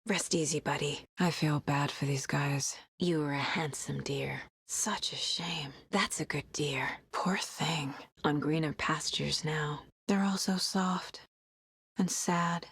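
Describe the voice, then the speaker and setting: A woman's voice: low-pitched, takes it slowly, fairly bright tone, breathy edge, no echo, full character voice. An actress voicing a determined, intense woman FBI agent in dramatic video game dialogue. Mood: soft, tender.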